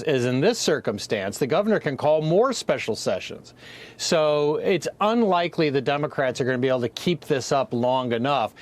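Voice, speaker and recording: Measured man giving political analysis. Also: a little high. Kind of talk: news commentary.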